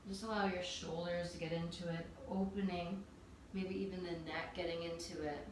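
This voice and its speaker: Woman yoga teacher, calm gentle cues, lowish voice, very echoey room, distant mic. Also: normal speed, background noise. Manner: calm, gentle cueing of breath and movement. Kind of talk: guided yoga instruction.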